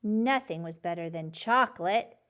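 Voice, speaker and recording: A woman reading a children's book aloud in a measured, clearly phrased storytelling delivery. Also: on the low side, expressive, a bit bright.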